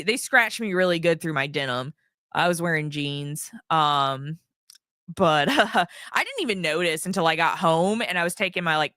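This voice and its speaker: A woman streamer chatting casually to her viewers, her voice hoarse from yelling, rambling with lots of ums and restarts. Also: on the low side, dry recording, studio quality. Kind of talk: casual livestream chat.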